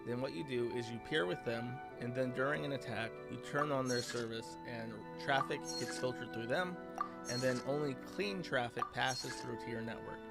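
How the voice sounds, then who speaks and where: Halting man over loud music. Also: medium pitch, creaky. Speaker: host. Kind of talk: casual monologue commentary.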